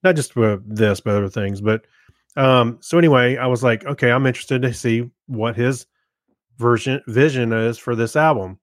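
A middle-aged man co-hosting a podcast, chatting casually in a low-key, hesitant, meandering way. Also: moderate pace, dry recording, studio quality. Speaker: podcast co-host. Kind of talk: casual chat, giving a review.

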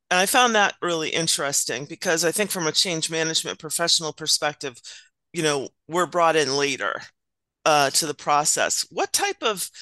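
An interview host with a change management background, asking friendly, halting questions. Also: medium pitch, sharp tone, no room sound.

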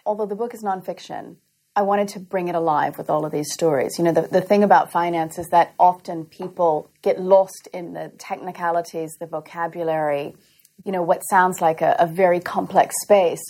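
A woman being interviewed in a hotel as a finance author, answering in a composed, measured and authoritative way. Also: on the low side, fairly even intonation, darkish tone. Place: hotel in London.

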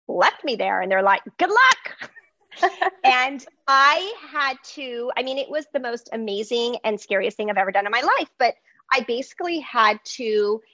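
Greek-native woman, lively storyteller, dry muffled sound. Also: fairly high pitch, no room sound. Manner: animated storytelling, frequent fillers. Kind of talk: casual conversation.

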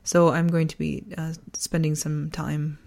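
A woman hosting a personal podcast, talking earnestly and deliberately, her voice partly gone from a sore throat. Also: low voice, level tone, creaky, darkish tone. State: losing voice from a sore throat virus. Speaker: podcast host. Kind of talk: personal podcast, reflective essay and casual chat.

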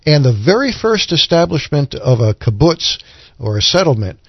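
A man co-hosting a radio Bible programme, explaining scripture in an earnest, measured teaching manner. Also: on the high side, very animated, no room sound, muffled recording. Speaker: radio Bible commentator and co-host. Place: radio studio.

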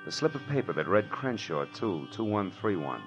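A tough private detective from a 1940s radio serial. His low voice is clipped and wry, full of hard-boiled sarcasm. Music runs behind the dull vintage recording. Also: very animated, normal speed. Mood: wry narration. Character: tough, wisecracking male private detective narrating his case.

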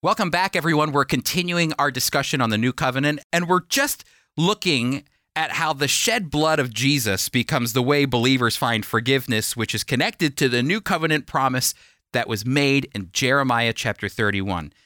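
A man teaching the Bible as a broadcast host, with emphatic, deliberate phrasing that rises and falls, close on a studio microphone. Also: medium pitch, lively intonation, pushed and bright, no echo. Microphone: close studio microphone, dry.

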